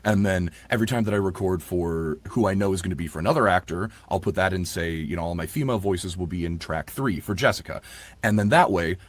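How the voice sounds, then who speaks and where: Low, husky-voiced man chatting haltingly. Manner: rambling, halting, restarts sentences often. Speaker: audiobook narrator and producer. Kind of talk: casual interview chat.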